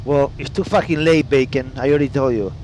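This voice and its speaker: Italian-accented guy streaming his flight sim, blunt and sweary, mid-pitched voice, faint noise behind. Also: fairly quick, a little mellow, poor audio. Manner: blunt, profane, reads chat aloud. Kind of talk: casual live-stream chat. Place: home flight simulator room.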